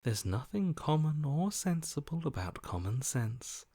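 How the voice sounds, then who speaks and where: A cold, slow, menacing voice. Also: male voice, on the high side, husky, no echo, exaggerated voice. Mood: cold menace. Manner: deadpan, deliberate, hushed. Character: cold, detached, menacing inner voice, calmly violent. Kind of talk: video game character acting.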